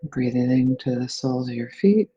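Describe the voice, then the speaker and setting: A person leading a guided meditation in a soothing, unhurried manner. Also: mid-range voice, animated, warm tone, highs cut off, slight background noise. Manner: soothing, unhurried phrasing with breathy releases.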